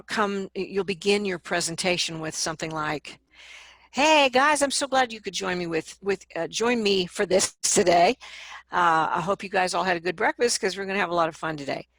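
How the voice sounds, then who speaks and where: Female vocal coach, interview guest. Slightly low pitch, dry sound, conversational and demonstrative. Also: a bit bright, dry recording. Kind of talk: conversational interview, explaining advice with examples.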